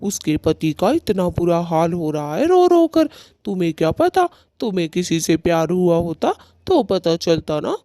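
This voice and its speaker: A man's voice: sits high, sing-song, unhurried, hardly any pauses, mellow, no room sound, studio quality, full character voice. A man playing an aggrieved male cartoon bird husband who speaks in a dramatic, reproachful way. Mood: scornful. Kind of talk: Hindi cartoon story dialogue.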